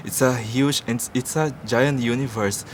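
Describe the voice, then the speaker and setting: A man's voice: mid-range voice, fairly even intonation, slow pace, a little hiss or hum. A man interviewing a guest at a press event, asking eager, friendly questions. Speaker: entertainment interviewer.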